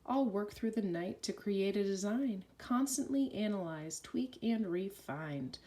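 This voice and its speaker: A woman reads a children's picture book aloud in an animated voice. Her pitch moves freely up and down as she follows the bouncy rhymes. The delivery is expressive and sing-song, at an ordinary female pitch. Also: a little slow, slight background noise. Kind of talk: rhyming children's read-aloud.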